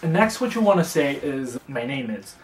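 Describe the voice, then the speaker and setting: A young man from China, teaching a casual beginner language lesson in a relaxed, chatty way, with fillers and slow, careful repetition of example words. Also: mid-range voice, a little hiss or hum. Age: young adult. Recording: roomy, distant mic.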